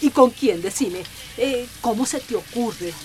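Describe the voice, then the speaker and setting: A woman's voice: fairly high pitch, expressive, unhurried, darkish tone. A woman playing a stern, suspicious mother in a radio soap, scolding and insistent. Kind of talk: radio soap opera acting.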